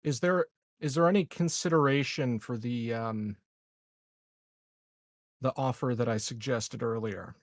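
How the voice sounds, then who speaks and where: A man plays a tabletop game, talking casually as one of the players. His voice sits at a medium pitch. The sound is very dry, with no room to it. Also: measured pace, long pauses, no echo.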